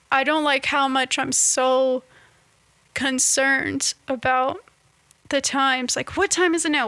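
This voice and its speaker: A woman in her twenties talking to camera in a casual, reflective monologue, halting and restarting as she searches for words. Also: high voice, sharp tone, no echo. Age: 20s. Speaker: vlogger.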